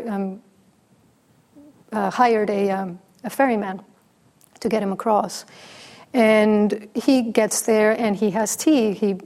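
Female dharma teacher, soft and pausing, a bit of a creaky edge. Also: medium pitch. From Mexico. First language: Spanish. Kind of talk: dharma talk with storytelling.

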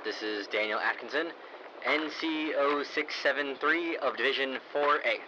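A man's voice: mid-range voice, moderate pace, muffled recording, lightly acted. A man playing an earnest, unsure young army photographer in an audio drama, with a hesitant, questioning manner. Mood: official. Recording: clear background ambience and noise.

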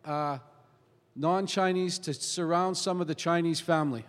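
A man leading a prophetic prayer in a church, pausing after each phrase for an interpreter. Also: on the high side, fairly even intonation. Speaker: guest preacher. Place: church hall. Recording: long hall reverb.

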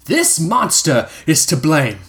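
A man's voice: a little high, huge pitch swings, takes it slowly, a bit bright, voice pushed up, full character voice. A man voicing a zealous militia leader who rouses his followers. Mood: rousing. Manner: rousing, declaiming.

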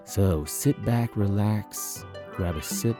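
A man's voice: on the low side, takes it slowly, music in the background. A man hosting a podcast in a casual, conversational style. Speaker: podcast host.

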